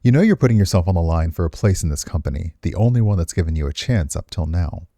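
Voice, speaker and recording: A man's voice: low-pitched, expressive, fast talker, warm tone. A man reading visual novel text aloud expressively as a game streamer, slipping into casual commentary.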